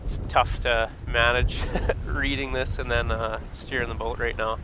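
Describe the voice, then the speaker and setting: Male boat host, relaxed flat chatter over wind and motor. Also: mid-range voice, measured pace. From southern Alberta, Canada. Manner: relaxed, easygoing, filler-heavy. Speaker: boat driver and live-cam host. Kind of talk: casual chat on a live stream. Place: outdoors on a small boat on a river. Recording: wind and boat engine noise.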